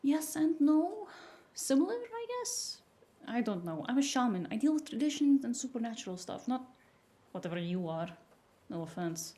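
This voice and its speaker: A woman playing a blunt, exasperated, sharp-tongued shaman in a cyberpunk audio drama. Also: sits high, very animated, moderate pace. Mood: unsettled. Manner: blunt, sardonic.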